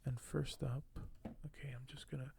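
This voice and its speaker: A man speaks softly as an ASMR host, often slipping into a breathy whisper. His voice is mellow with some creak in it, and his pitch barely moves. He takes his time, hesitant and a little apologetic. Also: level tone. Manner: slow, hushed, hesitant, apologetic. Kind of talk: ASMR unboxing, soft-spoken.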